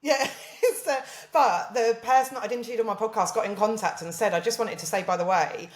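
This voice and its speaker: A woman's voice: medium pitch, a little fast, reverberant. A woman with a southern English accent, chatting as a podcast guest, laughing often and animatedly retelling conversations. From southern England. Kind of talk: casual chat.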